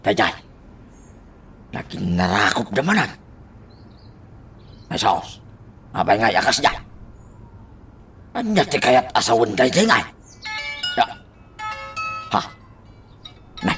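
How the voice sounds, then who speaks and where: A nosy radio-drama busybody with a mid-pitched voice that swings widely. He mutters and exclaims to himself at an unhurried pace, with faint background noise of animals and birds. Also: fairly bright tone, full character voice. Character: nosy muttering man spying and commenting on others. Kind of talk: Ilocano radio drama acting.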